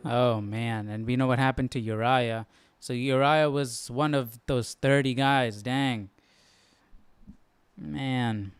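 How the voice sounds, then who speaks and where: A man's voice: medium pitch, fairly even intonation, slow, clear, resonant tone, no echo. A man hosting a live study stream in casual, informal chat, thinking things through aloud.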